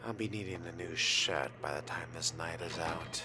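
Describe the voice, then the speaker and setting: A man's voice: deep voice, moderate pace, close to an everyday voice. A man voicing a suave, sardonic young vampire in character during a video game, courtly and wry. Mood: musing. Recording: loud music behind the voice.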